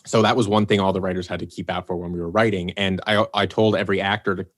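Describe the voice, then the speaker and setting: Low-voiced man chatting loosely in an interview. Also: fast talker. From Los Angeles area, United States. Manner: rambling, restarts and fillers, animated when quoting himself. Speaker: producer and writer of an audio drama. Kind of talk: casual podcast interview.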